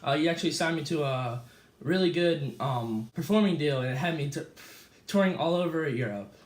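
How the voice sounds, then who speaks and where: A man's voice: on the high side, lively intonation, moderate pace, lightly acted. A young man playing a cheerful famous escape artist being interviewed, talking casually with fillers and small slips. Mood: easygoing. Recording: echoey room.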